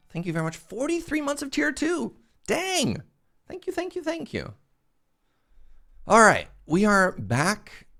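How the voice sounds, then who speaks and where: A man's voice: medium pitch, fairly bright tone. A man streaming a game, chatting casually with viewers while congested, rambling and thanking them. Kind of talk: casual live-stream chat while gaming.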